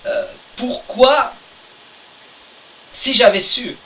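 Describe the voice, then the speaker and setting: Guy with a high voice, lots of umms, jokey, far from the mic, muffled lo-fi with a bit of hiss. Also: drawn-out words, fairly bright tone. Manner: hesitant, many fillers, joking. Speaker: podcast co-host, writer of the story. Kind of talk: casual chat. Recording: distant mic, dull lo-fi sound, faint hiss.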